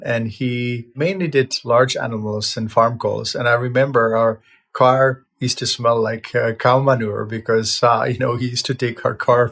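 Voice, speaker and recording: A man in his fifties from Czechoslovakia, a veterinarian telling his life story in a relaxed, reflective way, with plenty of "uh" fillers and restarts. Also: medium pitch, gravelly. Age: 50s. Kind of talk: casual personal storytelling.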